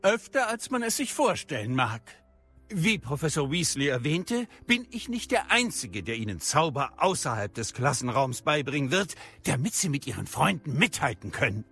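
An actor playing a genial, eccentric teacher of spells in a German audio drama, husky-voiced, courteous and lightly theatrical. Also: male voice, fairly high pitch, very animated, moderate pace, bright, forceful tone, faint music behind, full character voice. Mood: genial, instructive.

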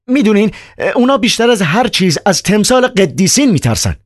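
A man reading aloud and voicing a frantic young male poet held in an asylum, his voice pushed high and shrill. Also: sing-song, no echo, studio quality.

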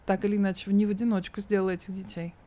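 A woman's voice: mid-range voice, level tone, rapid-fire, dark tone. A woman in her thirties speaking as an interview guest, candid and thoughtful with an ironic edge.

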